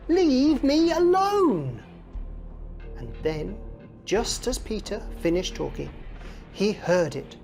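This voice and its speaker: A woman reading a children's story aloud in a deliberate, dramatic style. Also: low voice, a little mellow.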